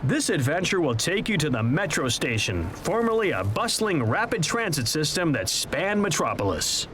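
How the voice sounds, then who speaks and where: A man's voice: a little high, big pitch swings, normal speed, slight background noise. A man voicing a boastful, self-promoting superhero who narrates a video game tour like a pompous salesman. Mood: showy, boastful. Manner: pompous showman, salesman-like, with awkward asides. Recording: loud music behind.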